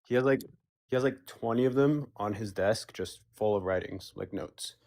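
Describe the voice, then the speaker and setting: Young male caller, low voice, rambly, full of fillers. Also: moderate pace, a little mellow. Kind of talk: casual chat.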